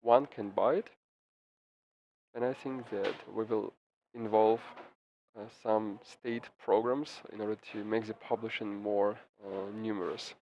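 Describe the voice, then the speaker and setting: Male simultaneous interpreter, mid pitch, stop-start delivery, slightly dull sound, faint hiss. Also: steady tone. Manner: halting, frequent restarts and fillers. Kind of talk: live interpretation of a press briefing. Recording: slightly muffled with faint background noise.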